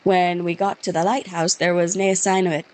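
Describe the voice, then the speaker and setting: A woman's voice: on the low side, level tone, normal speed, darkish tone, natural voice. A woman reading game dialogue as a curt, dismissive male police officer, using a put-on Scottish accent. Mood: scornful. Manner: curt, scornful, brushes off the witness.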